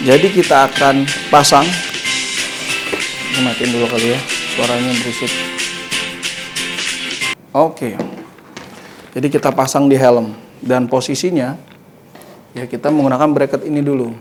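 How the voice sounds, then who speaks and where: A man's voice: mid-range voice, fairly even intonation, unhurried, long pauses. A man hosting a casual hands-on motorcycle gear review, informally thinking aloud as he demonstrates. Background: music.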